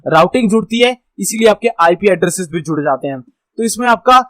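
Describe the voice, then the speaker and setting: High-pitched Indian man teaching. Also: animated, fairly quick, fairly bright tone, no room sound. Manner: explanatory, often checking in with the learner. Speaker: instructor. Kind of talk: informal tutorial lecture explaining slides.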